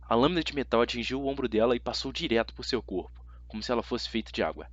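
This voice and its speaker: A man's voice: mid-range voice, quick pace, dry recording, muffled recording. A man with a Brazilian accent narrating a story dramatically in the first person, as an amateur audiobook reader.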